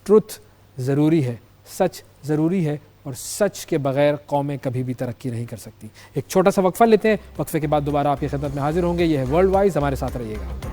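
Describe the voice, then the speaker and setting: A man with a Pakistani accent presenting and interviewing as a current affairs host, in a measured, emphatic, formal manner. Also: a little high, expressive, dark tone. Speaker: news programme host.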